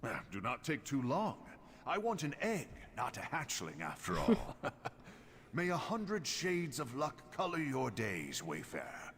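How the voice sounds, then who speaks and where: An actor voicing a suave, roguish reptilian smuggler merchant in a video game, speaking with flowery, wry courtesy. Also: male voice, mid-range voice, wildly swooping pitch, unhurried, vocal fry, fairly bright tone, in a large hall, a little hiss or hum, heavily acted. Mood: wry, bargaining. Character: suave, roguish male Argonian lizard-man smuggler merchant. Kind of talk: video game character dialogue.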